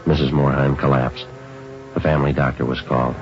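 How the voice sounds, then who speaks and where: An actor playing a calm, businesslike police sergeant in an old radio drama, clipped and matter-of-fact. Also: male voice, rumbling low, brisk. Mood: flat, matter-of-fact. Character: calm, businesslike police sergeant who narrates his cases. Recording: dull old radio recording, music.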